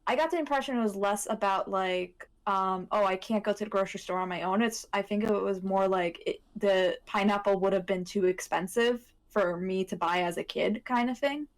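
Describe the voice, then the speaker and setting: A woman chatting casually as an anime panelist, pausing and searching her memory as she talks. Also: mid-range voice. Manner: hesitant, searching for recollections. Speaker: podcast panelist. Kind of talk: casual chat about anime.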